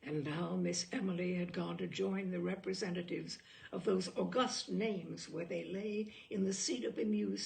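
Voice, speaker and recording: A woman reading a short story aloud in an expressive literary narration, with deliberate, dramatic phrasing and pauses. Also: lowish voice, gravelly, rough recording.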